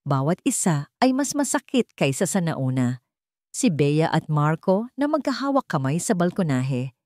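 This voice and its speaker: A woman's voice: deep voice, very animated, normal speed, darkish tone, no room sound, studio quality. A woman reading a scripted dramatic story as its narrator.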